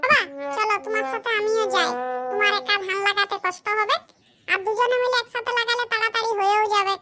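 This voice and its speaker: A performer voicing a dutiful young village son in a comedy cartoon, with a shrill pitched-up voice. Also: steady tone, quick pace, heavy background noise. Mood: earnest.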